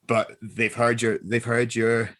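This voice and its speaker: A man's voice: mid-range voice, completely flat delivery, unhurried, a bit bright. A man chatting casually and giving advice as a guest voice actor, informal and emphatic, often repeating himself.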